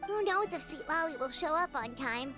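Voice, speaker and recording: A woman voicing a small, childlike, squeaky fairy companion in a video game, fussy and caring. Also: animated, moderate pace. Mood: chatty, doubtful. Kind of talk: video game character acting. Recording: game music behind the voice.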